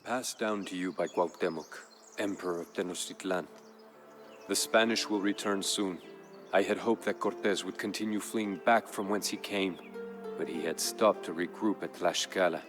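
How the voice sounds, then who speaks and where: Deep, grave Aztec emperor acted by a man over music. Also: close to an everyday voice. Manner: grave, measured, formal storyteller. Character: proud, somber Aztec emperor recounting his people's war. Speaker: in-game campaign narrator. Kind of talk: solemn scripted narration in character.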